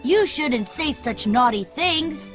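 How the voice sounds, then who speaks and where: A woman voicing a cocky, excitable young boy who never grows up in an animated children's story, boastful and blunt. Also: high-pitched, takes it slowly, soft background music. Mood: chatty, blunt.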